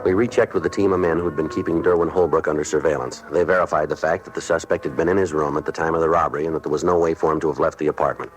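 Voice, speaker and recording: An American man playing a terse, businesslike police detective who narrates a 1950s radio crime drama in a clipped, deadpan way. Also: a bass voice, very fast, hardly any pauses, low-quality recording. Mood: matter-of-fact. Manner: clipped, deadpan, matter-of-fact. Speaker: lead actor and narrator. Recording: vintage radio recording with music.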